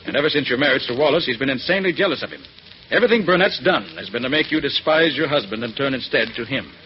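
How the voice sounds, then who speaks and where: An actor as a confident male detective from 1940s radio, laying out a case with theatrical authority. He talks fast with lively swings of pitch, on a muffled old recording. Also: a little hiss or hum, lightly acted. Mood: firm, accusing. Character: confident male master detective exposing a villain.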